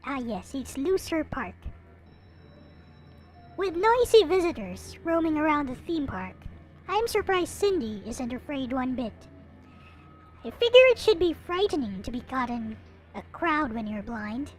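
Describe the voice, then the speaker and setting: High-pitched, theatrical woman narrator over music. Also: big pitch swings, a little slow. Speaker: video creator reading a visual novel aloud. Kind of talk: dramatic read-aloud narration of a visual novel. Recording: music playing behind the voice. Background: visual novel soundtrack music.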